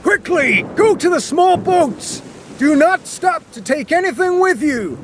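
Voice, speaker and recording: Stern ship captain with a high, strained bellow, voiced by a man. Storybook reading. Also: animated, measured pace, a little hiss or hum. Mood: shouting. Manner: bellowing commands, then plain explaining. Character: stern male ship captain, shouting orders.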